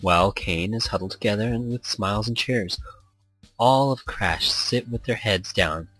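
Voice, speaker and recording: A man's voice: fairly low pitch, moderate pace, fairly bright tone, no echo, low-quality recording. A man hosting a let's play, reading visual novel text aloud in a playful, theatrical way. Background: background music.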